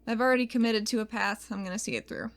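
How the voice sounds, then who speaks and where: A woman improvises casually as a player in a tabletop roleplaying game, moving between character and table talk. Her voice sits fairly high, with faint music underneath. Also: fast talker.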